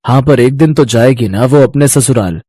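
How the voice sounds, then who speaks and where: A man's voice: medium pitch, moderate pace, warm tone, breathy edge, dry recording, close to an everyday voice. A man voicing a doting village farmer father in a cartoon story, tender and earnest. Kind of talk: Hindi moral-story cartoon voice acting.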